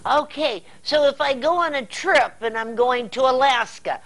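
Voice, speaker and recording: An older American woman with a somewhat high-pitched voice hosts a casual talk-show interview. Her delivery is folksy and halting, often doubling back on words. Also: a bit bright, poor audio. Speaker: TV interview host. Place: indoor TV studio set.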